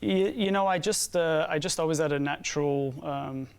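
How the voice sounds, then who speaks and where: A man in his forties with a thick English accent, a guest author in a TV studio interview, joking at his own expense and pausing often with fillers. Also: a little high, vocal fry, clear, resonant tone. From England. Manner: self-deprecating, hesitant with many fillers.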